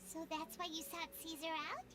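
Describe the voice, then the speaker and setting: A woman voicing a tiny, excitable female fairy companion in a video game. She talks about herself in the third person in a bright, squeaky voice. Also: moderate pace, noisy background. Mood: concerned.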